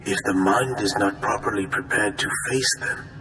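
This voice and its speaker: Male actor as wary Jedi master, grave, stern, lowish even voice, forceful, echoing. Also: moderate pace, lightly acted. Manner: cautionary, grave. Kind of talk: video game dialogue. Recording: echoing, music behind.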